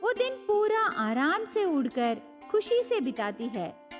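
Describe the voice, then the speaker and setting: A woman's voice: very high voice, very animated, takes it slowly. A woman narrating a scripted moral story for children. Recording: long reverb with faint music.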